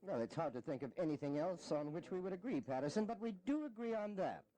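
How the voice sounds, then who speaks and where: An actor playing a wry, aristocratic founding-era delegate in a historical audio drama, dry and sardonic. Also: male voice, a little high, lively intonation, fast, dark tone, close to an everyday voice, rough recording. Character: wry, aristocratic Delaware delegate disdainful of mob rule.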